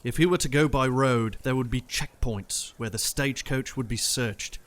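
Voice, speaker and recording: A man's voice: mid-range voice, normal speed, a bit bright, close to an everyday voice. A man playing a methodical young planner. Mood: serious. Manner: careful, methodical reasoning. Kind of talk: audio drama acting.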